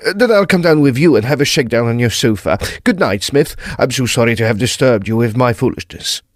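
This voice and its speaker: An actor playing a suave, nervy Victorian Oxford student with grandiose ambitions and effusively polite, theatrical speech in an audio drama. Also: male voice, mid-range voice, animated, fairly quick, no room sound, clean studio sound, lightly acted. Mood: nervous, apologetic.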